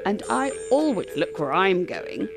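A woman's voice: mid-range voice, big pitch swings, a little slow. A woman voicing a bold, self-confident young male forest animal. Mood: cocky. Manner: loud, self-assured. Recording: soft music playing behind.

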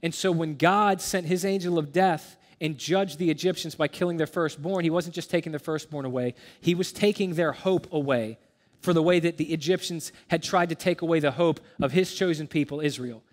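A man in his thirties giving a conversational teaching sermon as a pastor, earnest and explanatory. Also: on the high side. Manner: earnest, explanatory, with rhetorical questions. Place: large church auditorium stage, indoors. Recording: reverberant hall sound.

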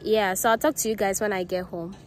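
A woman's voice: mid-range voice, brisk, a little hiss or hum. A young woman, chatting casually to viewers while filming herself on her phone. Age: young adult. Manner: relaxed, chatty, talks to viewers directly. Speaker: vlogger. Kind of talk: casual vlog talk to camera. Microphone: phone camera at arm's length.

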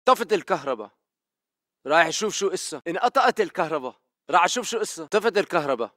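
A man's voice: fairly high pitch, expressive, fast, fairly bright tone. A man playing a wary militant guard in a video game, speaking tersely with a Levantine Arabic accent. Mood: matter-of-fact. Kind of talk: video game battle chatter.

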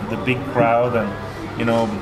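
A man's voice: mid-range voice, flat delivery, over music, low-quality recording. A middle-aged Norwegian man answering interview questions about his band, hesitant and full of restarts, at a table mic in a small festival room. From Norway. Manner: halting, repeats words, many fillers. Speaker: band member. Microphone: table mic in front.

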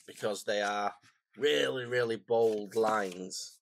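A man, croaky and phlegmy from a cold, chatting casually and rambling through a stationery unboxing at his desk. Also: on the low side, slow pace. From England. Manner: rambling, self-deprecating, chatty asides. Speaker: stationery vlogger. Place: home desk, indoors.

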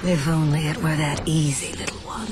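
An actress playing a cold, taunting female dragon villain in a video game, with a mocking, breathy drawl. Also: sits low, steady tone, moderate pace, loud music behind the voice, natural voice.

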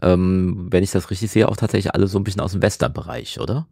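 A man hosting a video-call interview, asking questions in a relaxed, informal way. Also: sits low, fast talker, slightly rough, no echo, clean studio sound.

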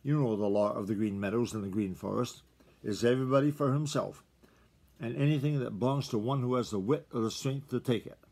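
Old vixen, shrewd and matter-of-fact, male storybook reader, husky tone. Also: medium pitch, brisk, darkish tone. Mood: lecturing. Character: shrewd old female fox, calm and scheming.

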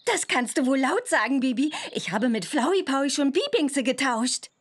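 A confident young witch girl in a children's computer game. She speaks in a high voice with very lively rises and falls. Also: normal speed. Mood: eager.

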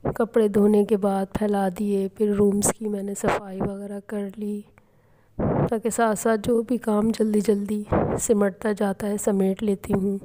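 Lady vlogger, calm homely voice-over, kinda monotone, mellow. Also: mid-range voice, unhurried, a little hiss or hum, low-quality recording. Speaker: home cooking vlogger. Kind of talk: voice-over narration of a daily routine vlog. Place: home kitchen and rooms.

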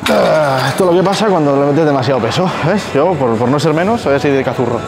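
A young man, giving energetic, joking gym vlog commentary while a friend films him in a large indoor gym. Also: fairly high pitch, quick pace. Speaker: fitness vlogger and coach. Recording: loud music over the voice. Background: loud gym music.

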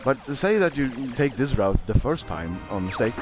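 A young man with a Swedish accent giving casual gameplay commentary, excitable and quick to swear when startled. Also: medium pitch, music in the background. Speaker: let's player.

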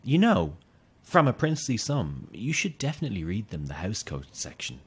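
An actor playing an eager, chummy, subtly creepy young fan in a radio drama, with an upbeat, coaxing manner. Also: male voice, lowish voice, huge pitch swings, brisk, husky, heavily acted. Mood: confiding, upbeat. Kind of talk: naturalistic radio drama acting.

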